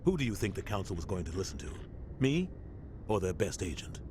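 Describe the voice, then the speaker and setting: An actor playing a bitter veteran soldier captain, speaking in a measured, grim tone. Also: male voice, deep voice, sing-song. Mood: tense. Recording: game cutscene with ambient noise.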